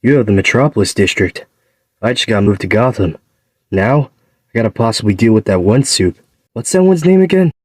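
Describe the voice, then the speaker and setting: A man playing a nervous, grumbling gangster henchman in an audio drama, with a husky voice. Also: on the low side, expressive, fairly quick, no room sound. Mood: grumbling, uneasy. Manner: jittery, complaining.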